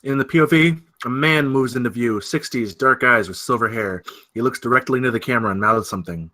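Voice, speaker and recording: A man's voice: mid-range voice, fast talker, pushed and bright. A man narrating screenplay stage directions in a dramatic, scripted style at a table read.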